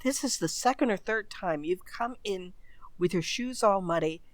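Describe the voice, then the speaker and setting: An impatient wife from a radio mystery, played by a woman. She questions and scolds with suspicion in a medium-pitched voice, recorded dry. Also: animated, normal speed, no echo, natural voice. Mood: impatient, scolding. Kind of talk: old radio mystery acting.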